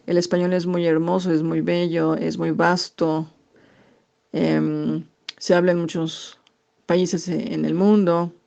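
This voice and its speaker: A Mexican woman, an English teacher, answering interview questions in a thoughtful, halting way with many fillers. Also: on the low side, little pitch movement, gravelly, warm tone. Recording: dull lo-fi recording.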